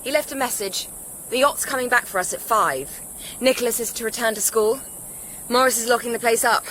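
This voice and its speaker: A woman's voice: on the high side, a little fast, a bit bright, a little hiss or hum, lightly acted, poor audio. An actress playing a sophisticated, teasing young woman with an English accent and a sardonic manner, in a radio drama. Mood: arch, teasing. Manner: arch, sardonic. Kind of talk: naturalistic radio drama acting.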